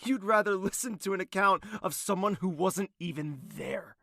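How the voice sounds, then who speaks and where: Male actor as proud wounded villain prince, sharp bright edge, sardonic, theatrical, dry close sound, fantasy audio drama. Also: high voice, normal speed, vocal fry, no echo. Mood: scornful. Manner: theatrical, sardonic, swinging from hushed to explosive. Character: embittered crown prince, proud self-confessed villain, wounded and defiant.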